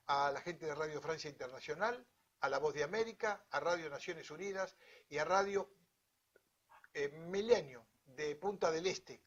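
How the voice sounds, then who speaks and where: A man with an Argentine accent hosts an interview show. His voice is fairly high and husky, and the sound is dull and lo-fi. He greets and questions with formal courtesy. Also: a bit bright. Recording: dull, lo-fi audio.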